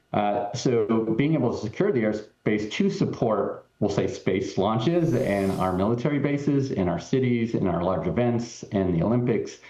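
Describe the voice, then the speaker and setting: A man's voice: on the low side, mellow. A man, a company chief executive, answering questions in a TV interview over a remote link, explaining earnestly with frequent ums and restarts. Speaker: tech company CEO guest. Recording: roomy, dull remote feed.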